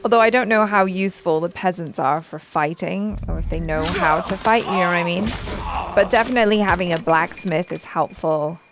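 A woman's voice: lowish voice, brisk, noisy background. A young adult woman casually reacting to a video game as a show host. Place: small indoor studio. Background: faint game music.

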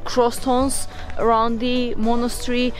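A woman in her thirties with an Armenian accent, guiding a visitor through a monastery, informative with frequent hesitant pauses. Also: fairly high pitch, level tone, soft background music. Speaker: tour guide. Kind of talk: explaining history to a visitor. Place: outdoors at a monastery and inside a stone church.

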